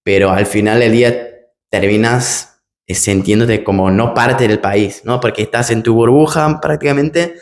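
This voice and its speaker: A man's voice: mid-range voice, fairly even intonation, moderate pace. A German man who speaks Spanish picked up in Argentina, hosting an interview in a casual, hesitant way with many restarts. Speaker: project manager, interviewer.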